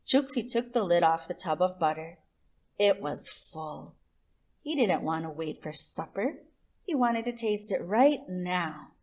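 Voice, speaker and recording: A woman reading a picture book aloud to children as host, expressive, with deliberate emphasis on words. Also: on the low side. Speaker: children's story reader and host.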